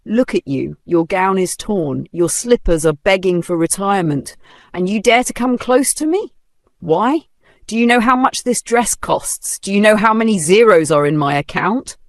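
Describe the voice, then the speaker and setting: A storyteller voicing a haughty, vicious rich woman of thirty who snaps and shouts insults. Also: medium pitch, sing-song, moderate pace, no room sound, clean studio sound, voice pushed up. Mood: scornful.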